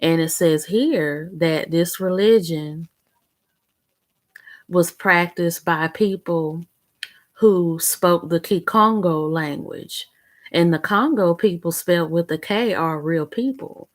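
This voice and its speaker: Young woman vlogging, kinda low voice, rambly casual storytelling. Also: slow. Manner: chatty, rambling, conversational storytelling with fillers. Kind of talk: casual chat.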